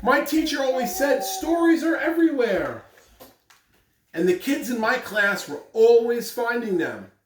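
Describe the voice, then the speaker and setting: Echoey, lively-pitched woman addressing schoolchildren. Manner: deliberate, encouraging speech to young children. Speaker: school morning announcer and story reader. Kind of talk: morning announcements and children's read-aloud. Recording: echoey room, distant mic, faint noise.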